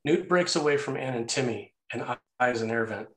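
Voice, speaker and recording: A man's voice: mid-range voice, expressive, normal speed, a bit bright. A man narrating action and scene headings from a screenplay in a scripted read. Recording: roomy, echoey sound.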